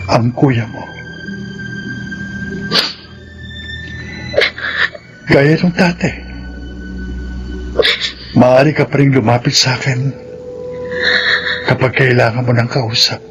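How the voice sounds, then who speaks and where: A Filipino actor playing a wronged, rough but loving older brother in a radio melodrama, earnest in his delivery. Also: medium pitch, fairly even intonation, a little slow, long pauses, natural voice, low-quality recording. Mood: tender, earnest. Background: music.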